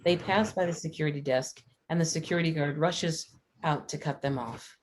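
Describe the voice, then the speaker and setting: Low-voiced woman reading stage directions. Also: normal speed. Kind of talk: scripted screenplay narration at a table read.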